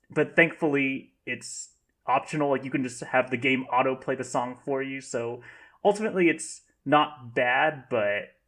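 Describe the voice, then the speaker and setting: A man on a podcast panel casually discussing games, explaining things in a halting, rambling way. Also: mid-range voice, a bit bright.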